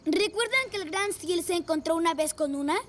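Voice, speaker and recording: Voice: very high-pitched, a little fast, a little hiss or hum. A performer voicing a brave, confident young rescue motorcycle boy in a children's cartoon dub, eager and commanding. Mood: eager storytelling. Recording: music behind the voice.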